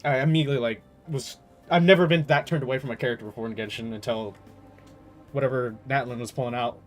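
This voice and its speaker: A man giving casual gaming commentary as a streamer, talking in an animated, slangy, exasperated way. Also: medium pitch, fast, soft background music. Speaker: game streamer.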